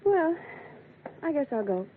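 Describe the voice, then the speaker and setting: A self-possessed noir schemer, a woman who speaks in clipped, understated deadpan. It is 1940s radio drama on a thin, narrow recording with clear noise throughout. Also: high-pitched, measured pace. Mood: guarded, clipped. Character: cool, terse female noir schemer, guardedly self-possessed.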